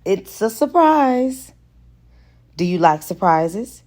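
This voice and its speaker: A woman's voice: medium pitch, very animated, takes it slowly, warm tone, voice pushed up. A woman reading the lines of a confident, flirtatious married businessman in an audiobook romance. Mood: confident, teasing.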